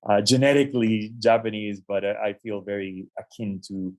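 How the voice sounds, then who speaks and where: A young adult man from the United States, an interview guest, telling his life story in a measured, reflective way with frequent ums and restarts. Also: lowish voice, little pitch movement, poor audio. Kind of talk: conversational storytelling.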